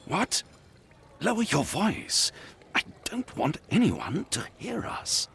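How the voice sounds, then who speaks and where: A man's voice: high voice, wildly swooping pitch, takes it slowly, vocal fry, a bit bright, slight background noise, heavily acted. An actor playing a flamboyant, flirtatious male host of a masked party, speaking with theatrical swoops. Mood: alarmed. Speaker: masquerade host.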